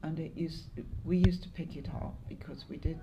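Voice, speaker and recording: An older English woman in her seventies or beyond, reminiscing in an interview in a measured, halting way with pauses. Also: deep voice, steady tone, noisy background. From Lancashire, northwest England. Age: 70s or older. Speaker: interviewee, longtime community volunteer. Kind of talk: oral history interview, reminiscing.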